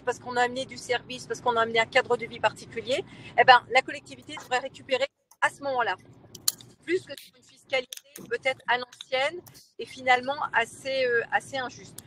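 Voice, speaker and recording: A woman, a local elected official, explaining her position in a panel discussion, emphatic and persuasive, with hesitant pauses. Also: sits high, fairly bright tone, a little hiss or hum, rough recording.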